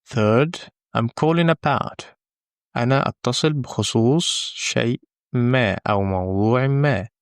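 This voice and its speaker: A man who is a native Arabic speaker, teaching English in a scripted, deliberate, instructional lesson style. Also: mid-range voice, smooth, no room sound, studio quality. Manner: deliberate, instructional, with pauses for the learner. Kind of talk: scripted language lesson, repeat-after-me drills.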